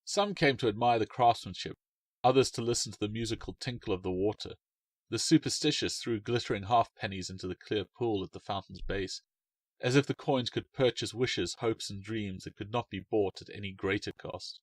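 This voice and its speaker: A man reading his own short story aloud in a measured, expressive way. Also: on the low side, dry recording. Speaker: author and narrator. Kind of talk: storybook narration.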